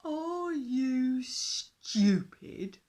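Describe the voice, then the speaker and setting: A woman voicing a big boastful male dinosaur in a storybook reading, talking slowly, loudly and rudely. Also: sits high, lively intonation, pitched above the performer's own voice, heavily acted. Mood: bossy, shouting. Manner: loud, slow, rude and sarcastic.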